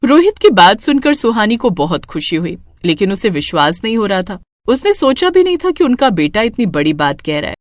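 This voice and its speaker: A woman narrating a scripted moral story in an expressive storytelling style. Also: mid-range voice, a little fast, few pauses, dry recording, clean studio sound.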